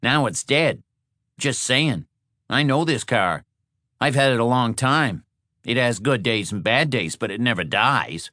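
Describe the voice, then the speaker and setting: Man acting stubborn, aggrieved guy, pitched up. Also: expressive, unhurried, sharp tone, no room sound, clean studio sound. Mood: defensive. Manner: defensive, insistent, colloquial. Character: aggrieved, blunt, stubborn male stranded motel guest.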